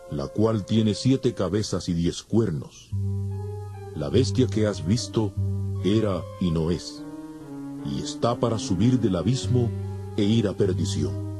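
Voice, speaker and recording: A man's voice: on the low side, lively intonation, measured pace. A man voicing a solemn angel who declaims divine judgment in a dramatized scripture audiobook. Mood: solemn, explaining. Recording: loud music under the voice.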